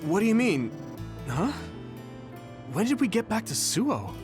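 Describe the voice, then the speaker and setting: An actor playing an earnest, soft-hearted young soldier hero in a video game, with a gentle, hesitant manner. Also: male voice, high voice, very animated, unhurried, music in the background. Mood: puzzled. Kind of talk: video game cutscene voice acting.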